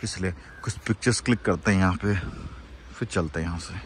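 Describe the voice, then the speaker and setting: A man's voice: low voice, a little fast, a little hiss or hum. A man in his thirties, a travel vlogger, chatting casually and exclaiming over the scenery, filming himself outdoors on a phone at arm's length. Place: outdoors on a mountain road.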